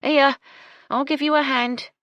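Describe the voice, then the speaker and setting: A woman's voice: high-pitched, fairly even intonation, a little slow, dry recording, lo-fi sound, voice pushed up. A woman voicing a brash, bossy young kitchen maid who scolds bluntly and swears freely. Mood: grudging kindness.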